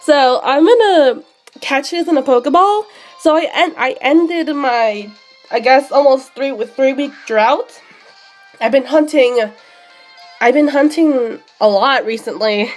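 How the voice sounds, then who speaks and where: A young woman streaming a handheld game, sick and losing her voice, chatting excitably with many fillers. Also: sits high, a little slow, soft background music. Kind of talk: live shiny hunting commentary.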